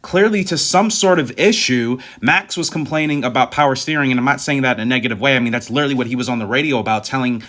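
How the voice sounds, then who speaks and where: A man's voice: medium pitch, quick pace, few pauses, a bit bright. A man giving a casual motorsport recap as a video host, conversational and emphatic, repeating points to stress them. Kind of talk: casual race recap commentary.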